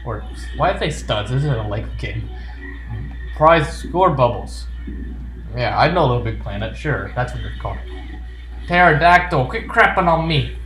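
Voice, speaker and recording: A man's voice: medium pitch, expressive, normal speed, a bit bright, slight background noise. A man giving casual, jokey gameplay commentary, often talking back to the game's characters. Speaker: gaming video host. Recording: roomy echo with game music behind. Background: video game music.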